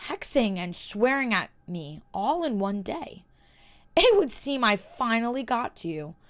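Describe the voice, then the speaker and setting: A woman voicing a cold, taunting young male wizard in a story reading, speaking with quiet, measured menace. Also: fairly high pitch, very animated, pitched above the performer's own voice. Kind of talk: reading a fan story aloud.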